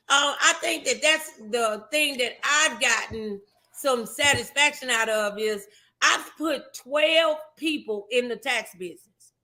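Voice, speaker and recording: American woman, talk show host, high forceful voice, unhurried pace, emphatic motivational chat.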